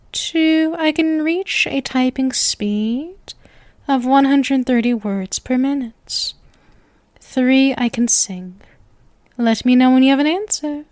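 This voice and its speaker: Girl voicing a clingy lovesick witch, high sugary voice, takes her time, coy n smug, asmr roleplay. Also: a little mellow, no room sound. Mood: chatty, teasing. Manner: coy, teasing, sugary with menacing devotion. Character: sweet, possessive young witch, smugly lovestruck and clingy. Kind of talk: ASMR roleplay acting addressed to the listener.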